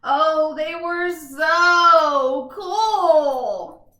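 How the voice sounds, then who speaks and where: Woman as uncool young bean, bright voice, wistful, self-deprecating, expressive storybook telling, roomy echo. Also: sits high, very slow, pitched above the performer's own voice, full character voice. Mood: admiring, wistful. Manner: expressive storybook delivery with exclamations.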